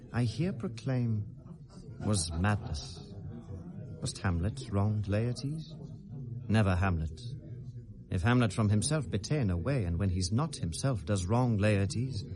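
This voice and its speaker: An actor with a British accent playing a brooding, sardonic young prince in a classical radio drama, theatrical and deliberate in delivery. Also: male voice, lowish voice. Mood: solemn, earnest. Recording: 1970s radio drama recording.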